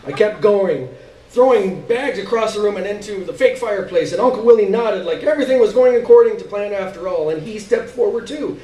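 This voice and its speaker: A man giving an expressive live reading of a literary story, with dramatic, halting pauses. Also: high voice. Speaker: story reader. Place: live stage reading indoors. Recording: roomy, distant stage recording.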